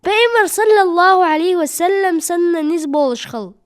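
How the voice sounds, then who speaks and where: A woman voicing a young child in an animated cartoon, with a drawn-out delivery. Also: very high-pitched. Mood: earnest. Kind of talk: cartoon dubbing.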